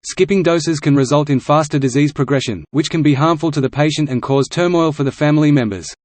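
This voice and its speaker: A man's voice: fairly high pitch, brisk, a little mellow, dry recording. A man reading a short practice passage aloud as scripted narration. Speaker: reader of English test practice passages.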